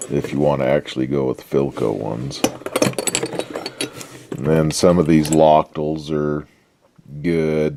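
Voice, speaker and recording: A man giving a casual, unscripted workbench demonstration in a laid-back, folksy, halting way, often trailing off. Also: a bass voice, heavy background noise. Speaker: radio restoration hobbyist presenting a repair update.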